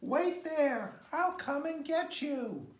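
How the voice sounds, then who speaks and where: A man's voice: extremely high pitch, animated, slow, pitched above the performer's own voice, exaggerated voice. A man voicing an affectionate, doting little girl in a storybook, recorded in a roomy, echoing space. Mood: relieved, excited. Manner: gushing, affectionate. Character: adoring, doting little girl cooing to her cat.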